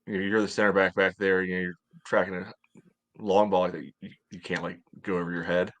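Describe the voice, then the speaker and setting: Low-voiced man chatting about sport. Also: level tone, a little fast, fairly bright tone. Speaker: podcast co-host. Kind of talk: casual sports analysis chat. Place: small home room, on a video call. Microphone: headset on video call.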